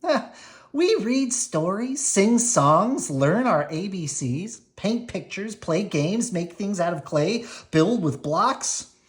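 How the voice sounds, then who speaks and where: Kindly female bear teacher played by a woman, storybook, sing-song, emphatic, higher pitch, encouraging. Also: expressive, takes it slowly. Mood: cheerful.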